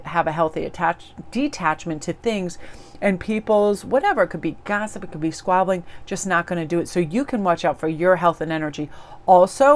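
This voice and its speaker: A woman with a slightly low voice speaks in a relaxed, rambling way, full of likes and you-knows. She sounds earnest and encouraging as she talks straight to her viewers. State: recovering from losing her voice. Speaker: tarot reader. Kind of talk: casual spoken reflection to viewers.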